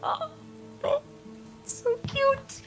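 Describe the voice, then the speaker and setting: Excitable tabletop player with music behind. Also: drawn-out words. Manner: excitable, gasps and exclaims. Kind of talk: casual chat, roleplaying banter.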